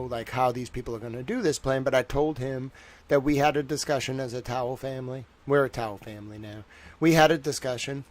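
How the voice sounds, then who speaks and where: A man hosting a livestream in a loose, chatty way, his voice tired. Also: medium pitch. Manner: chatty, loose, greets chat viewers. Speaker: livestream host. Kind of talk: casual rambling livestream chat.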